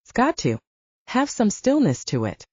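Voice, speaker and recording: A woman voicing an easygoing young male werewolf fighter with a teasing, relaxed way of talking. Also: a little high, normal speed, breathy edge, no room sound, highs cut off, voice pushed up, exaggerated voice.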